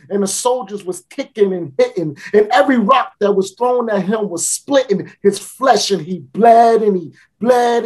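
A woman performing a first-person monologue as a mocking, boastful street fruit seller, talking folksy and dramatic, with repeated builds and sudden shouts. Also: on the high side. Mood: grim. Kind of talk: theatrical spoken-word monologue.

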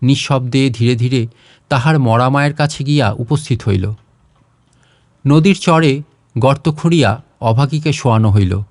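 A man's voice: mid-range voice, normal speed, pauses often, a little mellow, no echo, clean studio sound. A man narrating a literary short story as a scripted audiobook reading.

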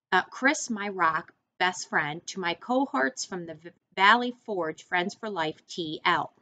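A middle-aged American woman reads a picture book aloud for first graders. Her pitch swings widely and her pace is slow, and she speaks straight to the children as she explains words. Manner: expressive, deliberate, instructing listeners directly. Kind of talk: picture book read-aloud for young children with teaching asides. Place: small room indoors, seated at a desk.

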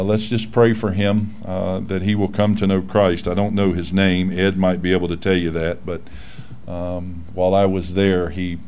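A man's voice: sits low, darkish tone. A man in his sixties, a pastor giving casual, folksy church announcements from a lectern microphone in a small church room. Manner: folksy, self-deprecating, with frequent fillers.

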